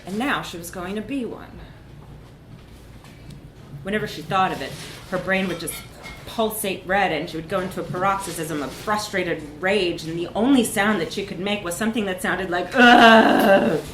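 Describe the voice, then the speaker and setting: A woman's voice: lowish voice, normal speed, echoey room, noisy background. A woman reads a comic short story aloud at a live event, with wry, emphatic narration. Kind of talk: animated literary narration, live reading.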